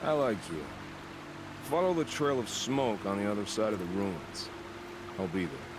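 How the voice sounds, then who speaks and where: An actor playing a wry, sinister wandering outlander killer in a video game, musing with sardonic dark humour. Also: male voice, lowish voice, sing-song, noisy background. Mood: sly approval. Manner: sardonic, musing, unhurried phrasing. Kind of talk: dramatic video game dialogue.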